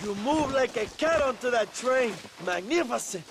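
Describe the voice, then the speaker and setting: An actor playing a flamboyant, lecherous, boastful Mexican rebel leader in a video game, theatrical and cajoling with a Mexican accent. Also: male voice, very high voice, animated, normal speed, slight background noise. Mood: conspiratorial, rousing. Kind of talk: video game cutscene acting.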